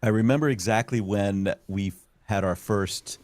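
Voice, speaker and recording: A man from South Florida hosting a live talk show, sharing a quick personal story and reading audience questions. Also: on the low side, a little mellow, no echo.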